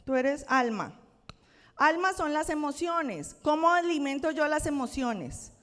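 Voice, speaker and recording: A woman's voice: high-pitched, expressive, measured pace, fairly bright tone. A woman pastor from Colombia with a Colombian accent, preaching a conversational sermon and checking in with her congregation. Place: church sanctuary. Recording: long church-hall reverb.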